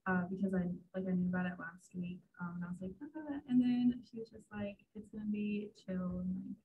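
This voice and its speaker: A woman's voice: mid-range voice, fairly quick, narrow, tinny audio. A young woman, a first-year student on a panel, speaking bashfully and hesitantly with giggles. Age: young adult. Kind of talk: casual panel discussion.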